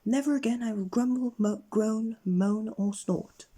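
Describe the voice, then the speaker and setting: A man's voice: a little slow, mellow. A man voicing a pitiful storybook pig, begging in a mournful wail. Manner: high, pleading wail.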